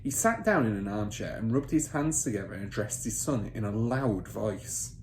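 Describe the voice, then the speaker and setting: A man narrates a children's story in an expressive teacher's read-aloud style. His pitch moves around a lot. The room adds noticeable echo, and there is faint noise behind him. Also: moderate pace.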